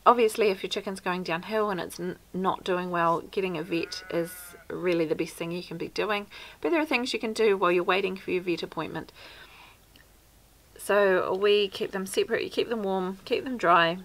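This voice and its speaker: Down-to-earth New Zealand homesteader woman, thirties, slightly low and gravelly, practical chat to camera outdoors. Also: moderate pace, a bit bright, low-quality recording. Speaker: homesteader vlogger. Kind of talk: casual how-to talk to camera. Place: outdoors by a wooden fence. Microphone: camera at arm's length.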